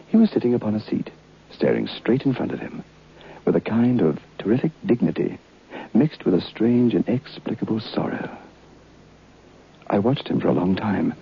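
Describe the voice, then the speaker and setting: An actor playing a haunted, curious former student who narrates a vintage radio horror tale in a deliberate, literary, brooding way. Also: male voice, deep voice, gravelly, slightly rough, heavily acted. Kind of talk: dramatic first-person horror narration. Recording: muffled, noisy old radio recording.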